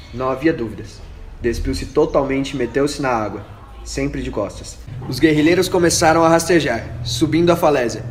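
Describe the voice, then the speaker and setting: A man reading scripted narration for an amateur radio drama. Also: mid-range voice. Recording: echoey room with faint noise.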